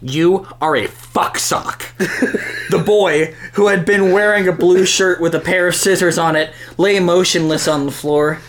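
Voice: medium pitch, steady tone, normal speed, hardly any pauses, a little hiss or hum. A podcast host reading a crude comic story aloud in a theatrical, amused way. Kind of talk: dramatic comic reading of narration.

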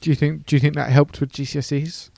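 An English man hosts a podcast, friendly and encouraging, with a gravelly, fry-tinged voice. His pitch stays level and unvarying. The recording is dry and close. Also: level tone, fast, darkish tone, no room sound. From England. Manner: friendly, encouraging, hesitant fillers. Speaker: podcast host. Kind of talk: casual interview chat.

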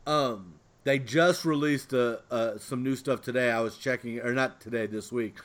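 Male podcast co-host, average pitch, informal fan-to-fan chat. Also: normal speed, a bit bright. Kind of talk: casual pop-culture chat.

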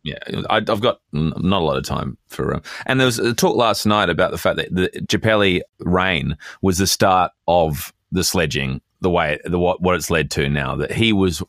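An Australian man co-hosting a podcast, chatting casually about sport in a measured, discursive storytelling way. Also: low-pitched, fairly bright tone, no echo, clean studio sound. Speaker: podcast co-host.

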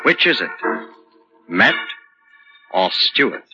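A man's voice: lowish voice, very animated, very slow. A man narrating a 1940s radio drama in a dramatic, portentous manner. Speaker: announcer-narrator. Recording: muffled vintage radio recording. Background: music.

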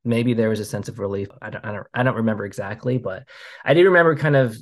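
A man in his thirties from the United States, a filmmaker speaking as a guest in a casual interview, halting with many fillers and restarts. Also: fairly low pitch.

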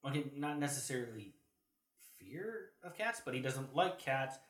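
A man in casual podcast discussion, explaining history as a co-host and piecing his thoughts together haltingly. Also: mid-range voice, big pitch swings. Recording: roomy, echoey sound.

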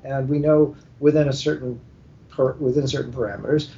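A middle-aged or older man speaking as an editor in an informal panel discussion, with deliberate, repetitive phrasing. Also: steady tone, warm tone, recorded from a distance. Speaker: editor, podcast guest. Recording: muffled lo-fi internet call with rumbling noise.

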